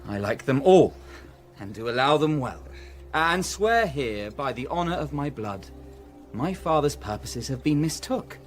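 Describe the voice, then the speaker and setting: An actor playing a proud, cold, commanding young prince in a classical radio drama, with declamatory authority. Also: male voice, mid-range voice, expressive, a little slow, soft background music, close to an everyday voice. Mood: smoothly assuring.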